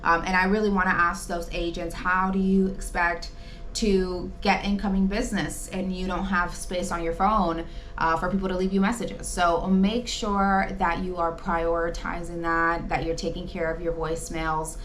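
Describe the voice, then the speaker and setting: A woman's voice: fairly low pitch, flat delivery, moderate pace, fairly bright tone, soft background music. A woman presenting an informal training talk, advising in an emphatic, conversational way. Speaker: presenter giving training tips to insurance agents.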